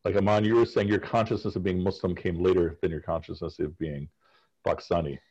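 A man's voice: sits low, fairly even intonation, brisk, darkish tone, husky, muffled recording. A man leading a class discussion as professor over a video call, asking students questions in a casual, conversational way. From United States.

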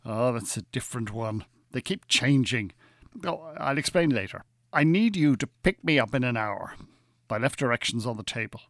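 An actor in an audio drama playing a polite, formal Irish con artist posing as a professor. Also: male voice, medium pitch, lively intonation, moderate pace, fairly bright tone, no room sound, studio quality. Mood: businesslike.

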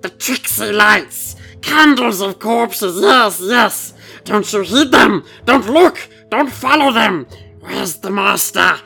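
A gravelly, hissing creature, put on by a woman, crawling through his lines at a dragging pace. His whisper swoops widely up and down, wheedling and furtive, with a bright, sharp edge to the rasp. The voice sounds set back from the mic. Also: mid-range voice, lively intonation, soft background music, exaggerated voice. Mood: furtive warning. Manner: slow, drawn-out, sing-song repetitions.

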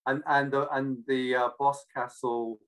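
A man from Cardiff with a British but not Welsh accent, talking casually and reflectively, often pausing to search for words. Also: medium pitch, flat delivery, clear, resonant tone, rough recording. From Cardiff, Wales. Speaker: interview guest.

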